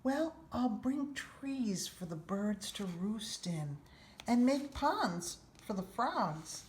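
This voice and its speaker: Storybook boy, played by a woman: young, earnest, dreamy enthusiast, unhurried delivery, roomy sound. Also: medium pitch, big pitch swings, darkish tone, pitched above the performer's own voice. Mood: hopeful, dreamy. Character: eager, imaginative young boy who loves nature. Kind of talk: children's storybook read-aloud. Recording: roomy echo, faint background noise.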